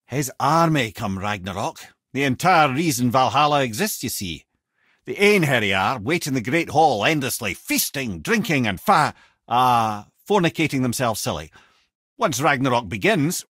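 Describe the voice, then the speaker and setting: Old scottish wise man game companion, husky and wry, super animated delivery, really dry close sound. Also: medium pitch, no room sound. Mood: solemn storytelling. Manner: theatrical, wry, eloquent. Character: Mimir, witty old talking head, learned storyteller and loyal companion. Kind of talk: video game character dialogue, lore storytelling.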